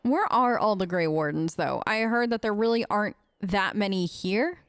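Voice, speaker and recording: A woman hosts a game playthrough, speaking as her character in a relaxed, conversational style. Her voice sits at a middle pitch. The sound is close and dry, with little room around it. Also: moderate pace, smooth, no echo. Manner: chatty, conversational. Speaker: gaming video host. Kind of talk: casual in-character role-play of game dialogue.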